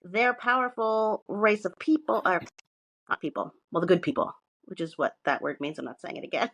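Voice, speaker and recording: A woman's voice: fairly low pitch, animated, fairly quick, vocal fry, a bit bright, no echo, low-quality recording. A woman co-hosting a podcast, partly reading from notes and chatting casually, with sweary, self-mocking asides. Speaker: podcast co-host presenting research.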